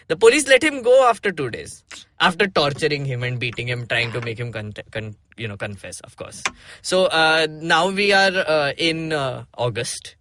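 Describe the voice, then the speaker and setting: A man's voice: on the high side, pushed and bright, slight background noise. A man with an Indian accent hosting a podcast, reading aloud and explaining casually, with some Hindi mixed into his English. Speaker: podcast host. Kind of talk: casual podcast talk with dramatic read-aloud.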